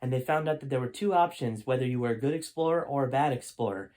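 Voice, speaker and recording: A man's voice: medium pitch, fast, darkish tone. A man reading a story aloud as its host, in an informal storytime narration style. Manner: informal, stops to correct own character voices. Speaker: author reading own book aloud, host. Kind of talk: storytime audiobook narration with character voices.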